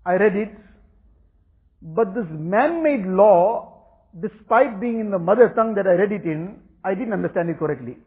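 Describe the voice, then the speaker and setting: A man's voice: sits high, lively intonation, moderate pace. A man whose first language is Urdu, giving an earnest religious talk and repeating phrases for emphasis. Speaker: religious teacher. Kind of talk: Islamic talk. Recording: tinny phone-like recording.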